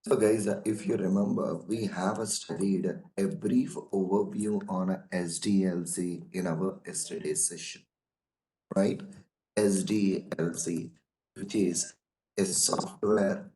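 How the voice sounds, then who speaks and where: A hoarse man with a cold, teaching a casual online lesson on software testing, calling his listeners "guys" and repeating his points. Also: low-pitched, fairly even intonation, echoey room, rough recording. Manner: slow and repetitive, addresses listeners as "guys".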